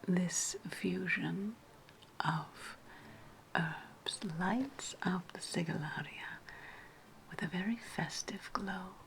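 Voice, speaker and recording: A woman playing a wry, spiteful ancient Roman witch in a whispered ASMR roleplay, breathy and sweetly sarcastic. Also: lowish voice, fairly bright tone, heavily acted. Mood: sly, confiding. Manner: slow, deliberate, sweetly sarcastic.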